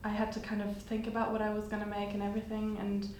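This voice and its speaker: Podcast guest: woman, early twenties, from Germany, flat-toned chatter, echoey room. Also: medium pitch, fast. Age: 20s. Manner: chatty, many fillers. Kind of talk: casual chat. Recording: echoey room with faint noise.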